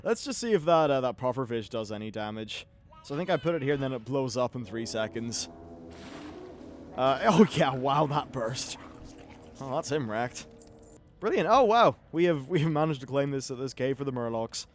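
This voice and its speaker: A man's voice: medium pitch, lively intonation, moderate pace. A man giving casual, off-the-cuff video game commentary as host, chatty and wry, with some swearing.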